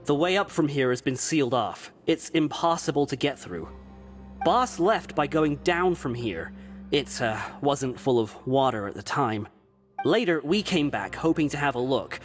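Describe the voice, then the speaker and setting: A man's voice: a little high, expressive, normal speed, soft background music, natural voice. A man playing an earnest young prisoner. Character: loyal male prisoner crew member, earnest. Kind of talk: game voice acting.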